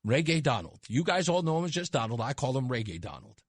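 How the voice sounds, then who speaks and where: A male radio host chatting casually in a studio, excitable, laughing often and breaking into loud bursts. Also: medium pitch, fast talker, no room sound. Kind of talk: casual on-air chat. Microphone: studio microphone.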